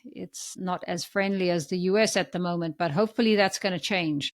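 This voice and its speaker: A woman's voice: fairly low pitch, brisk. A woman hosting a podcast, introducing and questioning a guest in a conversational interview style. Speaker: podcast host.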